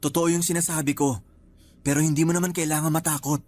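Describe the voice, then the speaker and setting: An actor playing a caring, apologetic friend who sees spirits, in a Filipino radio drama, gentle and hesitant in manner. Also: male voice, on the high side, little pitch movement, fast talker, lightly acted. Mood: reassuring. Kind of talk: Tagalog radio drama acting. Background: background music.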